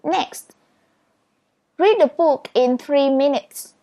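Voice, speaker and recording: Woman, Vietnamese first language, speaking English. Slow, patient, encouraging instructor with a higher voice. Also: mellow. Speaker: speed reading instructor. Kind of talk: step-by-step tutorial.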